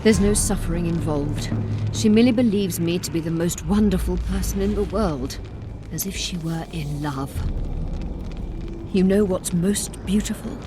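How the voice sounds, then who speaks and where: An actress playing a proud, imprisoned sorceress who pleads urgently for release while keeping a haughty edge. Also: female voice, fairly low pitch, moderate pace, natural voice. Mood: urgent, pleading. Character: proud imprisoned sorceress, middle-aged woman. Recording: game audio with orchestral music.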